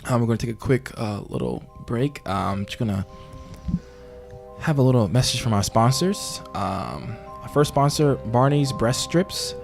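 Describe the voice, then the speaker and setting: A man playing a bumbling, earnest talk-show host in an improvised comedy interview, with halting, rambling phrasing. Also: medium pitch, expressive, vocal fry, music in the background. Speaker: podcast host.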